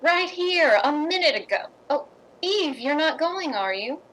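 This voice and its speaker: A woman as a polite, higher-pitched society lady. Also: lively intonation, measured pace, a bit bright. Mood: bright, insistent. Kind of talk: radio drama acting and narration.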